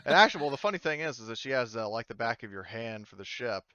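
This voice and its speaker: A man working as game master, narrating casually in a halting, loose, conversational way over home voice-chat audio. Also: medium pitch, lively intonation, sharp tone, no echo, highs cut off. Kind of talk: casual narration of an online tabletop roleplaying game.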